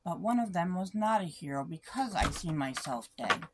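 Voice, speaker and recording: A middle-aged woman speaking in a polite, deliberate, earnest way, as if giving a formal statement or phone message. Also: fairly low pitch, lively intonation, very noisy. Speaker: vlogger making a phone call. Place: small room indoors.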